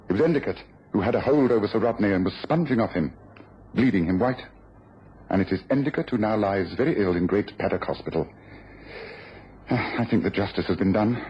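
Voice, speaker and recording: A man playing a commanding, precise gentleman detective in a radio drama. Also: fairly low pitch. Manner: authoritative, precise, explanatory. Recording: dull lo-fi radio, faint noise.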